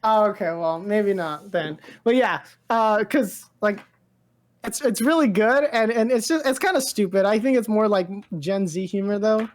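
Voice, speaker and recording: A woman's voice: mid-range voice, moderate pace. A woman co-hosting a pop-culture podcast in casual chat, rambling and hedging as she talks informally. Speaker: podcast co-host.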